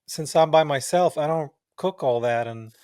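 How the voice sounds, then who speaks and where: A man's voice: fairly high pitch, normal speed, a little mellow, clean tone, no echo, studio quality. A young man hosting a podcast, chatting in a friendly, chummy way and calling his guest "man" and "bro". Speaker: podcast host. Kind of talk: casual interview chat.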